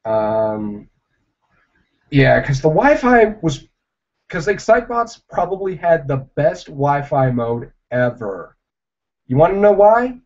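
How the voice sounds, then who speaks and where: Slow, rambling young man on a stream. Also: on the high side, very animated. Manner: rambling, halting, with many fillers and restarts. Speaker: livestreamer. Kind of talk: casual livestream chat answering viewers. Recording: dull lo-fi livestream audio.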